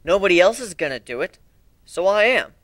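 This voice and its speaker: A man's voice: high voice, sing-song, fairly quick, fairly bright tone, dry recording. A man giving an amateur dramatic reading of a fan fiction story in a theatrical manner. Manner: theatrical, acts out dialogue.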